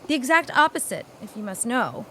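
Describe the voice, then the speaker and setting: Woman acting as refined young widow, higher voice, formal old-fashioned diction, yearning, period audio drama, noisy ambience behind. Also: sing-song, brisk, pushed and bright. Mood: candid. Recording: clear background ambience noise.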